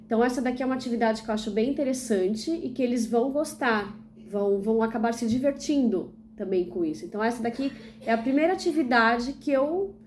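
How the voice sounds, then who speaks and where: Young woman, teacher trainee from Brazil, fairly high mellow voice, faint room hum. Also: expressive, fast talker. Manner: explains step by step, asking and answering her own questions. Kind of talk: instructional demonstration video.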